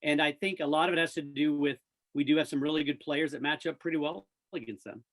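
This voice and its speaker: American male, sports announcer as guest, rapid pace, fairly high-pitched, talkative, informal, upbeat. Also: fairly even intonation, fairly bright tone. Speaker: radio play-by-play announcer, guest. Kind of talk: casual sports interview chat.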